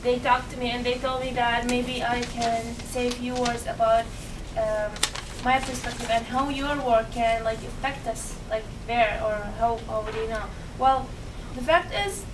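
A woman's voice: fairly high pitch, steady tone. Earnest young Iraqi woman, far off in a room. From Iraq. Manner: earnest, halting, many restarts and fillers. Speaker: guest speaker. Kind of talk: unscripted talk to a meeting. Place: meeting room, indoors. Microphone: far camera. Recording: roomy, distant camera audio with background noise.

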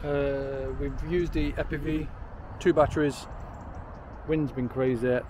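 A man's voice: mid-range voice, fairly even intonation, slow, noisy background. Rambling man vlogging outdoors. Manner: rambling, self-correcting, upbeat. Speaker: drone vlogger. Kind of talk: casual vlog to camera. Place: outdoors by a railway station, windy. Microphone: handheld camera at arm's length.

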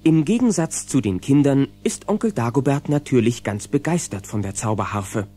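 A man's voice: medium pitch, lively intonation, normal speed, mellow, husky. A man narrating a children's radio play as a genial storyteller. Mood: storytelling. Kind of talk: scripted audio-drama narration for children.

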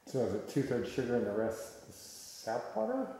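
A man's voice: medium pitch, big pitch swings, moderate pace, creaky, dark tone. A man chatting casually and asking questions as a visitor on a tour. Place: farm sugarhouse and tasting room. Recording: very echoey, reverberant farm building.

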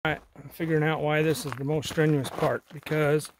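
A man's voice: fairly high pitch, little pitch movement, a little fast, a little hiss or hum, rough recording. A middle-aged man chatting casually while he hikes an outdoor trail, pointing things out and easily impressed. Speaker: vlogger. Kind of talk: casual hiking commentary. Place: outdoors on a rocky desert trail.

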